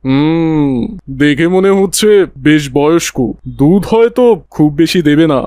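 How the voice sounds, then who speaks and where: A man's voice: fairly high pitch, unhurried, darkish tone, smooth, dry recording, clean studio sound, natural voice. A man voicing a stern, irritable village father in a cartoon, with a grumbling, scolding way of talking. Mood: doubtful. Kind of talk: cartoon voice acting.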